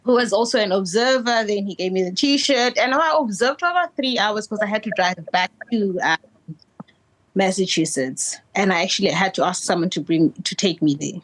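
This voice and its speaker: A South African woman, an election observer, talking loudly and excitedly with frequent laughter in a remote interview over a video call. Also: mid-range voice, moderate pace, low-quality recording. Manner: loud, bubbly and animated, laughs often.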